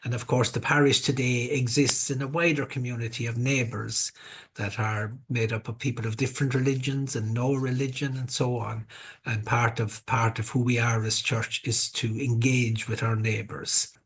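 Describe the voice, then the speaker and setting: A man hosting a parish workshop, talking in a measured way and repeating words as he searches for them. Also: medium pitch, little pitch movement, slightly rough. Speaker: workshop host.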